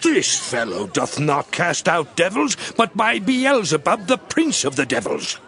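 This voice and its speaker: A man's voice: on the high side, wildly swooping pitch, a little slow, a bit bright, heavily acted. A man playing a scornful, accusing Pharisee with indignant, theatrical emphasis in a scripture drama. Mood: scornful accusation.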